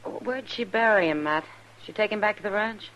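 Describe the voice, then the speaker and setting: A woman's voice: mid-range voice, a little fast, a bit bright, muffled recording, close to an everyday voice. An actress playing a frank, concerned frontier woman in a 1950s radio western, talking in a familiar, conversational way. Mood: skeptical.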